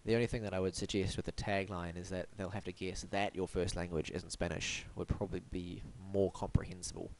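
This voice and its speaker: A man's voice: low voice, little pitch movement, fairly quick, few pauses, low-quality recording. Wry, stuffy-nosed man reviewing websites. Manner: wry, theatrical, mock-formal. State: blocked up and sniffly from hay fever. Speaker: web design reviewer, host. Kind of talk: scripted web review monologue.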